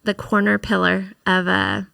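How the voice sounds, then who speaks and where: A gentle-voiced woman talks in a relaxed podcast conversation, with a gravelly texture and a bright, crisp tone. The sound is dry and close. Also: medium pitch, measured pace, no echo. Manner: gentle, reflective, speaks of women's experience. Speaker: podcast co-host and author. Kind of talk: casual faith-based podcast conversation.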